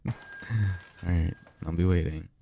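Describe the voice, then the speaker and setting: Man acting as a low, creaky-voiced, guarded vampire. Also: noisy background, heavily acted. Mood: reluctantly caring. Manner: halting, insistent, colloquial and sardonic. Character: guarded, secretive male vampire offering magical mentorship. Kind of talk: ASMR roleplay audio drama.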